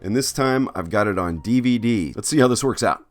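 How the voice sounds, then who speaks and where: Low-voiced man narrating over music. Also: lively intonation, fairly quick. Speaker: host, artist. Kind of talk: scripted video narration.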